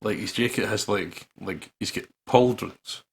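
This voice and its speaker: A man's voice: on the low side, measured pace, vocal fry, breathy edge. A Scottish man co-hosting a game playthrough, reading text aloud and bantering with irreverent swearing. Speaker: gaming channel co-host.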